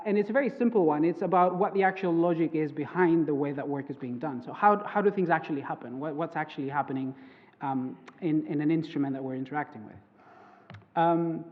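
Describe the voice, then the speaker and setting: A young man, a native Greek speaker, presents his research at a conference, hesitant and full of fillers and restarts. His voice sits fairly high for a man. The sound is thin and phone-like, with a long reverb trailing off the walls of a lecture hall. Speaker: conference speaker, PhD student. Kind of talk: academic conference talk.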